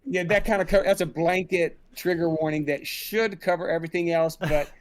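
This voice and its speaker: A man's voice: fairly high pitch, fairly even intonation, a little fast. A middle-aged man talking conversationally as an interview guest on a group video call from a room indoors. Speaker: interviewed director and audio drama creator.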